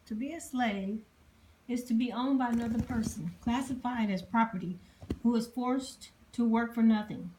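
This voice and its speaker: A woman presenting history informally, reading from notes in a halting way and spelling out difficult words. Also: on the high side, dark tone, a little hiss or hum, low-quality recording. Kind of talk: informal lecture reading dated notes aloud.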